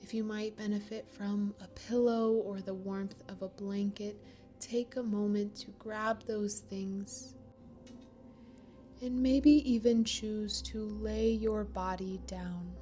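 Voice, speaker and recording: A woman leading a guided meditation in a gentle, soothing manner, with pauses between phrases. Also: mid-range voice, fairly even intonation, soft background music. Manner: slow, gentle, soothing delivery with long pauses.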